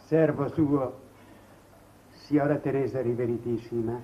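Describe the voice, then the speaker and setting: A man plays a ceremonious, devoted gentleman in a 1950s TV drama. He talks very slowly, in a flowery, deferential way. The sound is dull and faintly noisy. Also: medium pitch, steady tone, close to an everyday voice. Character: ceremonious, obsequious gentleman guest, meek and devoted. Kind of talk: 1950s Italian television drama acting.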